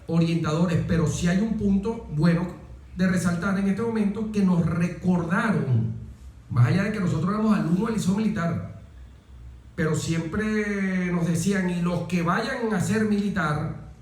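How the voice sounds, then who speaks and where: A man's voice: high-pitched, normal speed, darkish tone. A middle-aged Venezuelan man telling stories conversationally as an interview guest, repeating words for emphasis and checking in with short tag questions. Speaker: interview guest, former military aide. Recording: echoey room, distant from the mic.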